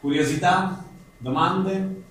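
A man's voice: high voice, slow pace. A man hosting a casual live interview, asking the guest questions. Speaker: interviewer hosting a book presentation. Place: live event venue with an audience. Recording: echoey room, distant mic, faint noise.

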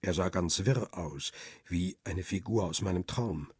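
A wary, world-weary photographer in a radio crime drama. His voice is low and heavily husky, recorded close and dry. He speaks in terse, reflective phrases as he tells his own story. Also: unhurried, a little mellow, no echo, full character voice. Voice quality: husky, rough. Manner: reflective, terse first-person narration.

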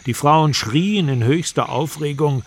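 A man's voice: fairly high pitch, unhurried, a little hiss or hum. A man giving sober, scripted storytelling narration in a radio drama.

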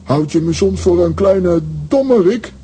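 High, husky, blustering cartoon hero. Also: male voice, animated, a little slow, warm tone, full character voice. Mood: smug, wary. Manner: boastful, indignant. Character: vain, gullible, blustering comic cartoon hero, adult man. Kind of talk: children's audio drama acting.

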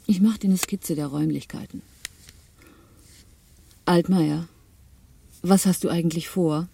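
A woman's voice: fairly low pitch, steady tone, a little slow, gravelly, mellow. A sardonic female accomplice in a radio crime play. She sounds weary and disgusted, with some ambient noise behind her. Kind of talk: German radio crime drama dialogue.

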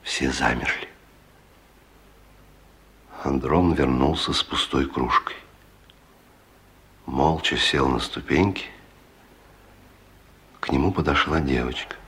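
An actor narrating a radio drama as a grave, unhurried storyteller. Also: male voice, rumbling low, a bit bright, exaggerated voice. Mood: measured, somber. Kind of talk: scripted radio play narration.

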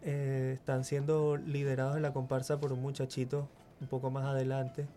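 Hesitant, mellow-voiced man, faint music behind. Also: medium pitch, completely flat delivery, normal speed. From Chile. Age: 40s. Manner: hesitant, repeats words while thinking. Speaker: guest muralist. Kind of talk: radio interview.

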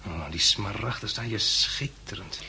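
An actor playing a gallant, attentive man in a 1960s Dutch radio drama, speaking gently and with some hesitation in a Netherlands accent. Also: lowish voice, a little slow, full character voice. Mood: tender, wistful. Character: courteous, attentive man dining at the world's end. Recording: noisy vintage 1960s radio recording.

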